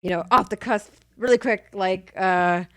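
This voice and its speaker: A woman hosting a live stream chat, speaking hesitantly with many pauses and restarts. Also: medium pitch, no echo.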